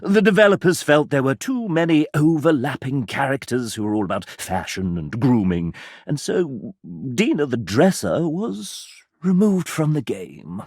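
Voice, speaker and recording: A man's voice: medium pitch, big pitch swings, a little mellow, slightly rough, no room sound, studio quality, full character voice. An actor playing a theatrical, fussy and sardonic man, a video game show host with an arch, dramatic manner. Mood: wry, mock-tragic. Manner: arch, dramatic, drawn-out phrasing with big swoops.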